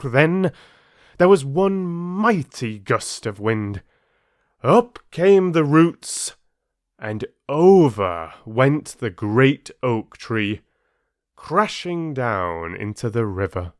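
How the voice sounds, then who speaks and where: A man working as an audiobook narrator, reading stories and addressing listeners with measured, deliberate phrasing. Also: mid-range voice, big pitch swings, dry recording, studio quality. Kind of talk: expressive storybook reading and listener chat.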